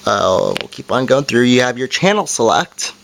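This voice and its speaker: A man's voice: mid-range voice, very animated, moderate pace, gravelly, a bit bright. A man giving an informal product review, explaining things plainly step by step as an amateur video reviewer. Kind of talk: casual product review and demonstration.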